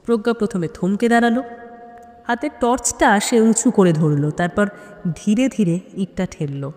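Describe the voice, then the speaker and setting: A woman delivers dramatic suspense narration for an audio story. She phrases it in measured pieces with tense pauses. Her voice carries a long, hall-like reverb. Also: medium pitch, a little mellow.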